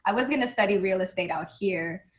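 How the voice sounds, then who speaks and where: A woman's voice: medium pitch, fairly quick. A woman from Canada whose first language is Portuguese, chatting as an interview guest in an easygoing, modest way. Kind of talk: casual interview.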